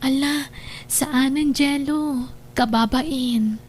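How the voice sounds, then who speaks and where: A woman acting a gentle, trusting, ailing young girlfriend in an Ilocano audio drama, with a soft, tender manner. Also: high-pitched, steady tone, drawn-out words, slight background noise. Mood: tender, hopeful.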